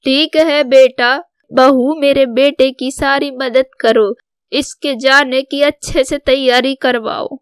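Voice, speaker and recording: Woman playing a slow, clear-voiced proud mother. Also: high voice, steady tone, no room sound. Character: proud, devoted older mother of soldier sons. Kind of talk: scripted Hindi cartoon story dialogue.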